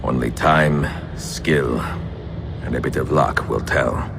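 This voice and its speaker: A weathered Italian assassin in middle age, grave and determined. The voice is extremely deep and Italian-accented, with music behind it, in cinematic game style. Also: male voice, fairly even intonation, takes it slowly, creaky, heavily acted. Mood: solemn, reflective. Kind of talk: cinematic video game acting.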